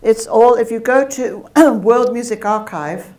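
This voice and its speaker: A woman's voice: fairly high pitch, a little slow, darkish tone. A woman academic speaking at a talk and answering audience questions, chatty and self-deprecating, with frequent restarts.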